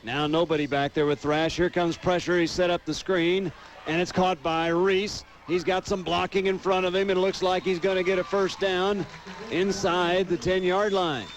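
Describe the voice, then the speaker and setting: A man's voice: high voice, level tone, normal speed, a little hiss or hum. An American man doing live radio play-by-play commentary of a football game.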